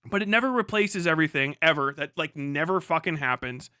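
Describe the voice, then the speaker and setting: A man's voice: on the high side, expressive, brisk, pushed and bright, no echo, studio quality. A man on a casual, emphatic livestream rant, speaking off the cuff, repeating himself for emphasis and swearing freely. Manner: emphatic, repetitive, profane.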